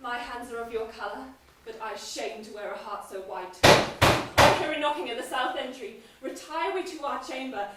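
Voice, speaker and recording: A woman's voice: on the high side, normal speed, very noisy, natural voice. An actress playing a ruthless noblewoman spurring her husband on, delivering intense Shakespearean verse on stage, recorded from far off in an echoey hall. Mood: urgent. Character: ruthless scheming noblewoman, murderer's wife. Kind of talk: stage play performance. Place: theatre stage in a large hall. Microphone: distant room mic.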